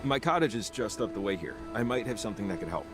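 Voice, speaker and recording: Casting: low, lively male game character, actor-played, earnest amateur radio buff, hesitant and halting, music behind. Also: close to an everyday voice. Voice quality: halting, self-interrupting speech. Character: earnest, hesitant male radio-technology enthusiast, eager to explain. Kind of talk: naturalistic video game voice acting.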